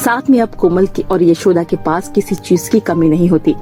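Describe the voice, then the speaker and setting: A woman's voice: lowish voice, moderate pace, mellow. A woman reading a scripted moral bedtime story as its narrator. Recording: music bed under the voice. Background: background music.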